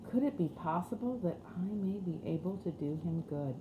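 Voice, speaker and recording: A woman's voice: on the low side, lively intonation, moderate pace, narrow, tinny audio, lightly acted. A woman voicing a melancholy, wondering storybook man, a lonely wanderer. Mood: wondering, hopeful. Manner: wondering, hushed. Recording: roomy echo with faint background noise.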